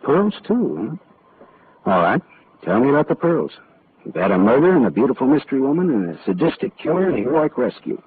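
An actor playing a wry, hard-boiled private detective in old-time radio noir, with clipped, slangy wisecracks. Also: male voice, mid-range voice, big pitch swings, brisk, natural voice. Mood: sardonic. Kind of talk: old-time radio noir acting and first-person narration. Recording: muffled, noisy old-time radio recording.

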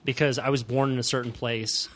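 A man's voice: mid-range voice, steady tone, fairly quick, fairly bright tone. Male podcast host with a mid-range voice; easygoing, curious and a little teasing. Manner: playful, teasing, musing. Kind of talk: casual conversational interview.

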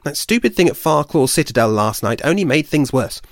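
A man voicing an irritable, overworked young female squirrel in a storybook reading, complaining fast and loud. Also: a little high, big pitch swings, no room sound, clean studio sound, pitched above the performer's own voice. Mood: exasperated.

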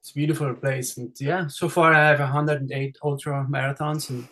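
A man's voice: mid-range voice, fairly even intonation, a little fast, a bit bright. A middle-aged man from Switzerland with a Swiss accent, chatting casually as a podcast guest, friendly, with lots of fillers and restarts. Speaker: race director guest. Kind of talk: casual interview chat.

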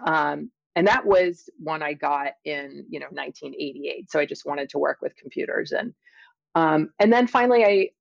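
A middle-aged woman speaking as an interview guest, explaining and telling her experiences in a thoughtful, halting way with drawn-out emphasis. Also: low-pitched, vocal fry, no echo, highs cut off. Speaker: interview guest, scientist and author. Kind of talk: conversational interview, personal storytelling and explanation.

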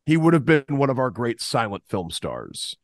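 A man from the Southern United States co-hosts a podcast and tells animated stories, often stammering as he restarts his sentences. His pitch sits in the middle. The sound is dry and close. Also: moderate pace, dry recording. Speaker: podcast co-host. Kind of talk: casual sports podcast chat.

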